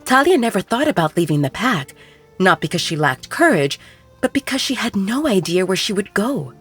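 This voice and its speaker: A woman's voice: medium pitch, sing-song, moderate pace, breathy edge. A woman reading scripted dramatic narration as the narrator of an audio story. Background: background music.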